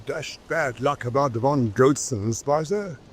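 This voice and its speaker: An actor playing a polite British military officer with clipped speech in a radio drama. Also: male voice, medium pitch, measured pace, husky. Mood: tactful.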